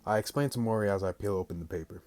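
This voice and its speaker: A young man hosting a gaming video, reading visual novel lines aloud in a casual, halting way. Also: on the low side, darkish tone, dry recording. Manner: halting, stumbling reader.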